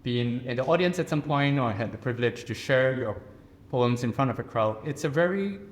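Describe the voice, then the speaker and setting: Singaporean man, mid-pitched, measured and earnest, panel storytelling. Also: expressive. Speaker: poet on a panel. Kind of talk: personal reflective talk. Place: reception room at a residence.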